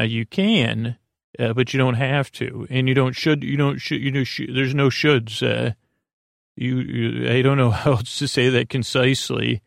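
A man with a creaky, gravelly voice hosts a sleep podcast, giving a soothing, meandering bedtime monologue with hesitant, repeating, lulling speech close to the microphone. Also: medium pitch, no room sound. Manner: meandering, hesitant, repeats and stumbles over words; lulling, gentle, drawn-out delivery.

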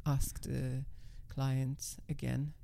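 A middle-aged woman guest with a naturally low, calm and level voice, speaking in a measured, self-assured way. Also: gravelly. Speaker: guest, professional dominatrix. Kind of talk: casual interview talk.

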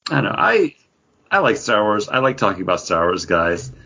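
A man hosts a podcast in a casual, fannish way. His voice sits low and has a husky, unclear grain. The recording is slightly lo-fi. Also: sing-song, brisk, bright, forceful tone. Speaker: podcast host. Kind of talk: casual fan chat.

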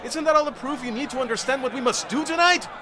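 An actor playing the pompous, preachy leader of a fanatical brotherhood in a supernatural audio drama. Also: male voice, very high voice, fast talker, crowd noise, background noise. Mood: zealous, commanding. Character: fanatical male leader of human supremacist brotherhood.

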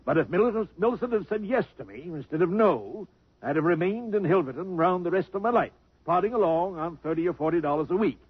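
An actor playing a gruff, stingy elderly millionaire in a 1950s radio drama. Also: male voice, high-pitched, expressive, quick pace, no room sound. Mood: reminiscing. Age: older. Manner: blustery and cantankerous. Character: gruff elderly rich man, impatient, stingy. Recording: dull vintage radio broadcast.

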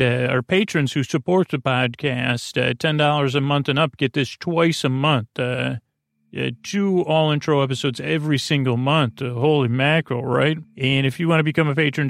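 A man hosting a bedtime podcast, talking in slow meandering tangents full of fillers and restarts, with a creaky voice. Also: medium pitch, steady tone, dry recording. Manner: meandering, filler-heavy, self-interrupting, soft. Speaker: podcast host. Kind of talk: rambling bedtime-story podcast talk.

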